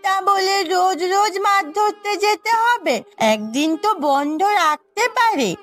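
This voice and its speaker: Sulky little village girl for a cartoon, really high voice, pouty, music low behind. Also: animated, unhurried. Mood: pleading, annoyed. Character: pouting little village schoolgirl, sulky daughter. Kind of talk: Bengali moral cartoon dubbing.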